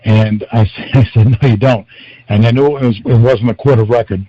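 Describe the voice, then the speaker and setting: Man, fairly low pitch, dull call audio. Casual participant, halting and self-correcting. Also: fairly even intonation, slightly rough. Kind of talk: casual personal account on a conference call. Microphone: phone call. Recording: dull lo-fi conference line.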